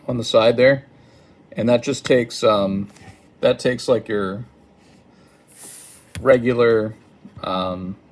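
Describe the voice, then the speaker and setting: A man giving an informal hands-on product tutorial in a hesitant, halting, apologetic manner. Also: fairly low pitch, darkish tone. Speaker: product demonstrator. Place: indoors at a wooden table.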